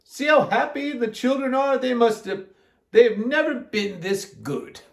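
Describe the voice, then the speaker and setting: A man's voice: extremely high pitch, expressive, a little slow, gravelly, roomy sound, pitched above the performer's own voice, exaggerated voice. A man voicing a fussy, exclamatory lady guest in a storybook. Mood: pleased.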